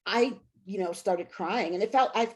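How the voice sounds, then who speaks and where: A woman's voice: medium pitch, moderate pace. Candid, wryly funny woman in conversation. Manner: self-deprecating, wryly humorous. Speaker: author and scholar being interviewed. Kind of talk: casual, candid interview talk.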